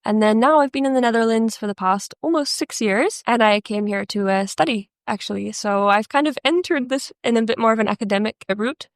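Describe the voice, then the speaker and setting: A young woman, speaking with a fairly high, light voice. She chats earnestly and easily as an interview guest, often pausing on "um" and "yeah". The sound is dry and close. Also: dry recording. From New Zealand. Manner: earnest and chatty, many "um" and "yeah" fillers. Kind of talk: casual interview chat.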